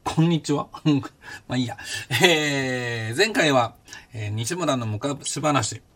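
A man in his fifties, hosting a podcast and recounting his past in a casual, blunt and animated way. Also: medium pitch, takes it slowly. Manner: animated, blunt storytelling with rough casual speech. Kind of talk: casual spoken memoir.

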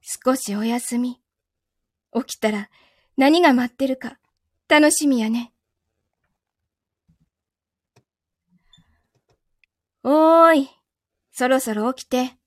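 A woman's voice: high voice, slow, long pauses, dry recording, studio quality. A woman playing a betrayed, possessive young lover in a live audio drama, with a Kansai Japanese accent and a controlled, coldly teasing manner. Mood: menacing, teasing.

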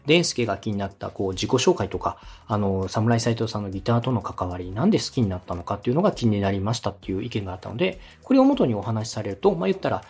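A man's voice: fairly low pitch, very animated, fast, hardly any pauses, a little mellow, husky. A man hosting a podcast, explaining and giving advice in a polite, instructive way. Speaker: podcast host and radio director. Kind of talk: explanatory coaching talk on a podcast.

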